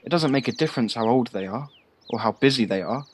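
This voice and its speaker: A man's voice: medium pitch, lively intonation, fast, breathy edge. A young man acting a simple, earnest, friendly twenty-five-year-old in an amateur audio drama. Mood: matter-of-fact. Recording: faint noise, home-recorded on personal device.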